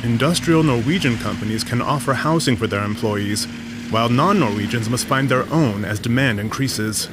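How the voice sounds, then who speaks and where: A man reading scripted documentary narration in an even, measured way. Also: medium pitch, noisy background. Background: music.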